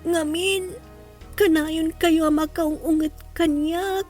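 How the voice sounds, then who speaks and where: A hurt, sad young girl, acted by a woman in a melodramatic audio drama. The plaintive voice is extremely high and slow, sounding distant under clear music. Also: steady tone, a little mellow. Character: sad, hurt young daughter who feels unloved.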